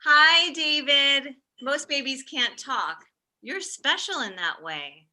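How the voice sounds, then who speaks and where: A woman performs a pushy, syrupy cartoon character with a very sharp, bright edge. The pitch is a bit high and swings widely, with blunt comic delivery. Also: expressive, takes it slowly, full character voice. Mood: syrupy, condescending. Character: blunt comedy booker and chirpy mommy-group leader. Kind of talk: comedy table read of an animated pilot.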